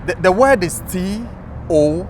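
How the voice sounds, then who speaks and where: Ghanaian-accented male host, animated and joking, fairly high pitch, outdoors by traffic. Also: takes it slowly. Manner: playful, teasing, animated. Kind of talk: street interview. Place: outdoors on a city street. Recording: faint outdoor street noise. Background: street and traffic noise.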